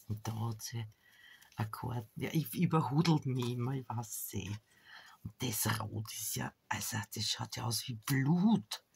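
A woman's voice: deep voice, gravelly, darkish tone, breathy edge. Chatty woman with a stuffy nose. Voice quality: chatty, self-deprecating, trails off mid-sentence. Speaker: crafting vlogger. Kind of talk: casual chat while showing a junk journal. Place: indoors at a table.

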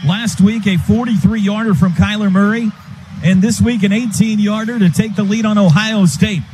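Male radio football announcer, high voice, clipped phrasing, stadium noise faintly behind. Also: steady tone. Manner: clipped, rapid-fire phrases. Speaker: play-by-play announcer. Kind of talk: live radio football commentary. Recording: noisy stadium broadcast.

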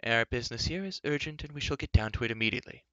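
A man voicing a very old, solemn and blunt enchanter in a fantasy storybook, speaking in curt, measured phrases. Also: mid-range voice, animated, fairly bright tone, no room sound, highs cut off. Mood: grave, urgent. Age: older figure, played. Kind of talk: fantasy audiobook character voice.

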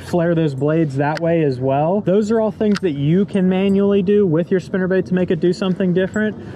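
A young man walks viewers through his fishing technique in an easygoing, explanatory way. His voice sits a little high but sounds mellow and soft-edged. Faint outdoor noise runs under the recording from the boat. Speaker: fishing channel host. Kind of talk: instructional fishing vlog.

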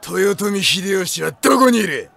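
A man playing a brash, swaggering young warlord in an audio drama, taunting and shouting with a raspy voice. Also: high-pitched, animated, a little slow, a faint crowd, exaggerated voice. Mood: cocky taunting. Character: brash, cocky young one-eyed dragon warlord. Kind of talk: Japanese anime-style audio drama acting.

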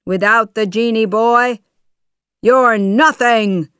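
An evil male sorcerer from a storybook, acted by a woman narrator. He is imperious and menacing, pushing his words out loudly and with force. The sound is dry and close. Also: medium pitch, slow, smooth, no echo, close to an everyday voice. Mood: menacing, angry. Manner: shouting, imperious.

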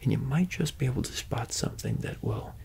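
A young man whispering softly and playfully while he answers viewer questions for an ASMR video. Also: mid-range voice, animated, a little fast, gravelly, breathy edge. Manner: soft, close whisper, teasing and playful.

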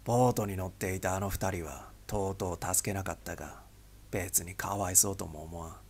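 A man voicing a grim, weary spy boss who speaks tersely and heavily in a gravelly, husky voice. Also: low-pitched, steady tone, brisk, a little mellow, pitched below the performer's own voice. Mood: somber. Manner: heavy, somber, terse.

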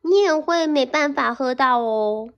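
A woman's voice: sits high, a little slow. A woman voicing a small, eager male mouse in a storybook read-aloud, coaxing and pleading insistently. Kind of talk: picture-book read-aloud.

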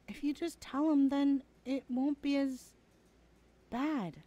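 A woman's voice: high voice, dark tone. A woman playing a defiant, protective younger sister in an ASMR roleplay, argumentative in manner.

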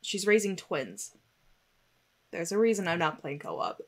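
A woman's voice: on the low side, expressive, unhurried. A woman giving casual, wry let's play commentary as a gaming streamer, self-deprecating and sweary.

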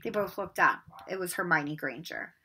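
A woman's voice: fairly low pitch, moderate pace, bright, forceful tone, slightly rough. A middle-aged woman, talking and reading aloud as a teacher for children at home. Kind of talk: storybook read-aloud and casual talk to pupils. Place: bedroom at home. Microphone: laptop or webcam microphone at desk distance.

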